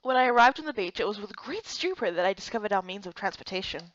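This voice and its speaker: A woman voicing an earnest, excitable young heroine, reading a fantasy game story in the first person with expressive, dramatic delivery. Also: medium pitch, fast, no echo, highs cut off, close to an everyday voice.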